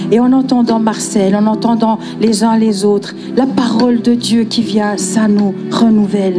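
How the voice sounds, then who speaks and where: A woman from Switzerland giving a passionate, emphatic sermon, repeating words for effect. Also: on the high side. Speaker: guest preacher. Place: church conference hall. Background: guitar and piano music.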